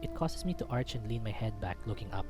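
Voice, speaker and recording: A man's voice: fairly low pitch, animated, fast talker. Man narrating a story over music. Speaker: visual novel reader. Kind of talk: dramatic first-person story narration.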